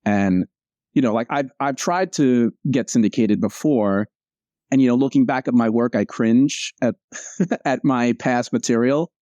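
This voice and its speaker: Male interview guest, casual and rambling, self-correcting, with a warm, relaxed tone and dry sound. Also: mid-range voice, unhurried, no room sound, dull audio. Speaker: comic strip cartoonist, interview guest.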